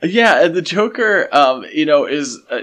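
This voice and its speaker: A man's voice: fairly high pitch, lively intonation, unhurried, a bit bright. A man co-hosting a podcast, talking casually in a conversational discussion. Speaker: podcast co-host.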